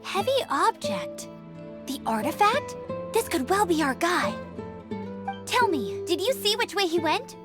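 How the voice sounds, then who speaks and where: High, spirited young knight heroine. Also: female voice, unhurried, pauses often, music in the background, exaggerated voice. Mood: determined. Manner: bold, energetic, theatrical delivery. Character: spirited young woman knight, cheeky, confident and eager. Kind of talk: animated video game voice acting.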